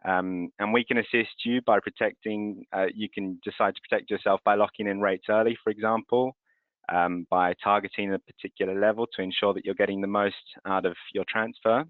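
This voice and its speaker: A man's voice: on the low side, steady tone, brisk, dry recording. Businesslike man on a tinny call. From France. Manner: fluent, businesslike, explanatory. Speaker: webinar host, currency transfer representative. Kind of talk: presenting a webinar. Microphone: video call. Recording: tinny video call audio.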